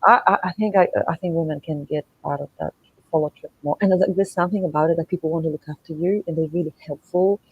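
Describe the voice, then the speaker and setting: A woman's voice: low voice, quick pace, husky, narrow, tinny audio. A middle-aged woman with a Polish accent, chatting casually and animatedly as she tells stories as a podcast guest. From Poland. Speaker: podcast guest, motorcycle traveller and content creator. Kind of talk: casual conversational storytelling.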